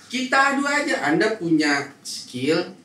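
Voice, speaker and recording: A man's voice: high-pitched, very animated, measured pace, bright, forceful tone, far from the mic. A young man co-hosting a casual chat in a joking, questioning manner. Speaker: podcast co-host. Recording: echoey room, off-mic, faint noise.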